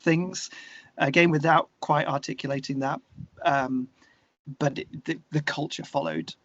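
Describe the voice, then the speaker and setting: A man with an English accent talking candidly and reflectively as an interview guest, searching for his words in a halting way. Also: a little high, steady tone, fairly bright tone, dull audio.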